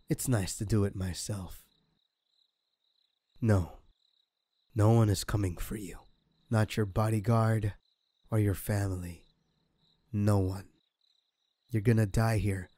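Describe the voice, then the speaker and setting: Man playing a slow, low-voiced gloating villain. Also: dark tone, no room sound. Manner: slow, mocking, menacing. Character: sadistic, gloating male crime boss taunting a captive. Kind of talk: ASMR audio roleplay acting.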